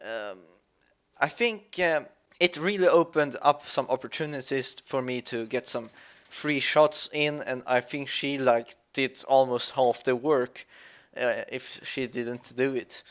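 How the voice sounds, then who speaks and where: Slow-paced man, mid-pitched, halting and thoughtful, unscripted game commentary. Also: smooth. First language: Swedish. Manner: halting, searching for words, thoughtful. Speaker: let's play commentator. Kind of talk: reflective gameplay commentary.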